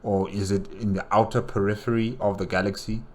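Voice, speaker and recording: A man's voice: fairly low pitch, little pitch movement, brisk. A man hosting a reaction video, giving casual commentary as he thinks aloud, hesitantly musing and asking himself questions.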